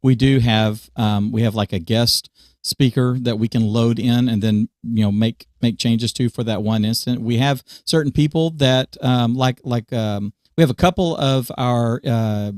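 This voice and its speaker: A man's voice: mid-range voice, unhurried, a little mellow, no room sound, studio quality. A man co-hosting a casual podcast chat about church media, explaining sound setups through everyday church examples.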